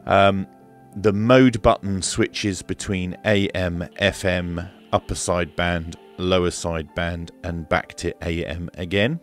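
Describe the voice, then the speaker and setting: A man's voice: sits low, fairly even intonation, slow, soft background music. A man giving a casual hands-on product demonstration, explaining step by step in a matter-of-fact, hesitant manner. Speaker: radio reviewer and demonstrator. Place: indoor room at a desk.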